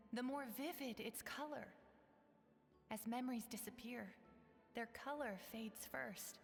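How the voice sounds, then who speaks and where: A woman playing a solemn, inspiring mentor who addresses her allies in a measured, formal way in a video game. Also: fairly high pitch, a bit bright. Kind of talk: video game character acting, speech. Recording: long reverberant hall, background music.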